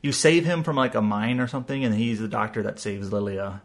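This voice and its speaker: A man co-hosting a gaming podcast, chatting casually with quick asides. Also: fairly low pitch, fairly even intonation. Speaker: podcast co-host.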